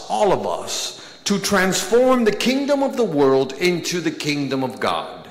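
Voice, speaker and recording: Slow deliberate priest in echoing church. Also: male voice, fairly high pitch, a bit bright. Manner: slow, deliberate preaching with emphatic pauses and gestures. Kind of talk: sermon. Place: large church, at a pulpit. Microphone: pulpit microphone. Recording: echoey church with long reverb.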